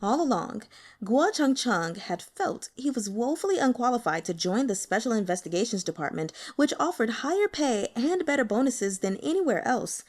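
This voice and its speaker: A woman narrating a novel as an audiobook reader, in an expressive scripted style. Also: fairly high pitch, normal speed.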